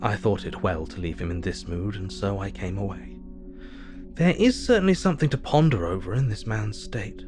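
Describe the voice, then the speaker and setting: An actor playing a grave, analytical asylum doctor narrating his diary in an audio drama, measured, thoughtful and formal. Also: fairly low pitch, darkish tone.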